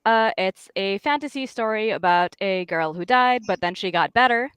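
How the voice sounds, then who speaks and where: A woman's voice: mid-range voice, lively intonation, normal speed, a bit bright, smooth, no echo. A woman talking casually and informally about her own creative work as a guest host, with a chatty, self-deprecating manner.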